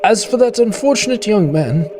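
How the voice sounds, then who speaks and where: A frail, apologetic injured doctor, played by a man, high and very changeable in pitch. He speaks formally and haltingly in radio-play style over a noisy mic. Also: animated. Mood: evasive, sorrowful. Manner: formal, halting, throat-clearing. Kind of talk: amateur radio play acting. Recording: clearly noisy microphone feed.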